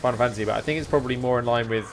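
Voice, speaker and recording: A man's voice: mid-range voice, racing. Man chatting casually over faint game music. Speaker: game streamer. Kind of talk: casual live-stream chat while gaming.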